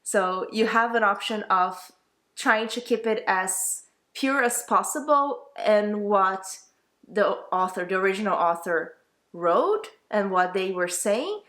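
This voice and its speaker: A woman's voice: medium pitch, animated, slow pace, fairly bright tone. A young woman with a Brazilian Portuguese accent, a native Portuguese speaker, giving a casual, enthusiastic book review that rambles and corrects itself as she goes.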